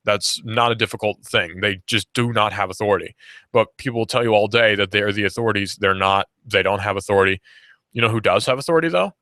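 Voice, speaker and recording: A young man behind a studio mic, talking on radio in a low, bright, forceful voice. He argues with sarcasm and drives each point home by repeating it. The sound is close and dry. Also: fast, no echo. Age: young adult. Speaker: radio host. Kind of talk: opinionated talk radio commentary. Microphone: studio microphone.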